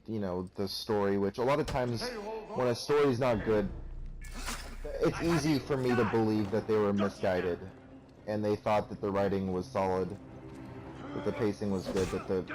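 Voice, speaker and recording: A man's voice: fairly low pitch, a little mellow. A young man giving a sarcastic, profane rant while commenting on gameplay, recorded on a cheap, poor-quality microphone. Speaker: let's play commentator.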